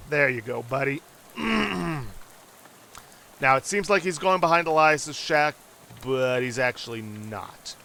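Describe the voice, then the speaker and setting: A man's voice: fairly high pitch, lively intonation, takes it slowly, vocal fry, long pauses, sharp tone, a little hiss or hum. A man hosting a let's play, casually and wryly commenting and reading game text aloud.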